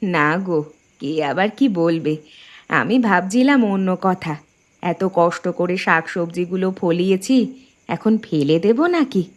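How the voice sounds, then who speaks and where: A woman playing a gentle, hardworking village housewife in a cartoon, speaking softly and earnestly. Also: on the low side, animated, slow pace. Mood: pensive. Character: gentle hardworking village housewife, caring elder sister-in-law. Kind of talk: cartoon dialogue acting. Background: background music.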